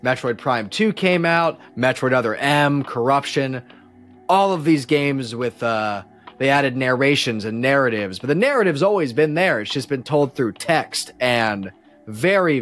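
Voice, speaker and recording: Male podcast guest, mid-pitched, casual and opinionated, rambling with enthusiasm, music present. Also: animated, unhurried, fairly bright tone.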